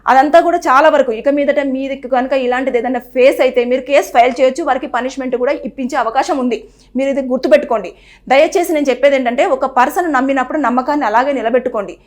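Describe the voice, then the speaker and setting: A woman presenter talks quickly in a high voice. She explains earnestly and gives advice. Now and then she acts out what people say. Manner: earnest, advising, repeats phrases and acts out quoted speech. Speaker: news presenter. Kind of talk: explainer to camera on a legal topic.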